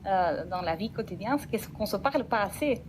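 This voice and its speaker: A woman's voice: mid-range voice, animated, normal speed, noisy background. A woman whose first language is Dutch, speaking French with a slight Belgian Dutch accent, explaining her program in a radio interview with enthusiasm and many restarts. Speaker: guest, program representative. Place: radio studio.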